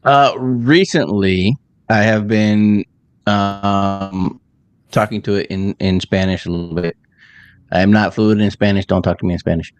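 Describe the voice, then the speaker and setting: A man in casual conversation, telling an anecdote in a relaxed, easygoing way. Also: fairly low pitch, unhurried, clear, resonant tone, lo-fi sound, faint music behind.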